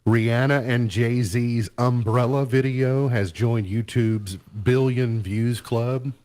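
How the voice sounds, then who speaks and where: A man's voice: mid-range voice, steady tone, slow, a little mellow. A man hosting a radio show, chatting casually through entertainment news in an easygoing way and sometimes trailing off mid-sentence.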